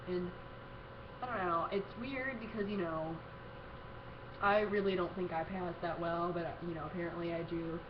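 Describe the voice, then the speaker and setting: A young man with a bad cold, rambling casually in a video diary with lots of fillers. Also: a little high. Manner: rambling, heavy on "you know" and "um" fillers. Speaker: vlogger. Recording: dull lo-fi audio with steady hiss.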